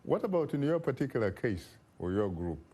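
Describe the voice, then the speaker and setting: A man hosting a broadcast interview, asking measured questions with frequent pauses and fillers. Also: mid-range voice, big pitch swings, warm tone, slightly rough. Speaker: talk show host.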